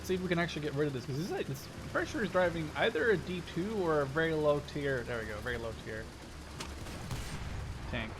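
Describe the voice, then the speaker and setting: A man in his twenties with a rather high-pitched voice commentates a game in a casual, informal way. He rambles and drifts into storytelling asides. Vehicle and game noise runs faintly behind his voice. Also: lively intonation, fast talker. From Netherlands. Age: 20s. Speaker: gaming video host.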